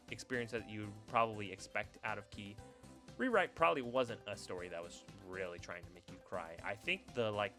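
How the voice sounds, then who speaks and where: A man's voice: fairly low pitch, pushed and bright. A man giving casual, unscripted review commentary, thinking aloud in a rambling, hesitant way. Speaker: YouTuber reviewing visual novels.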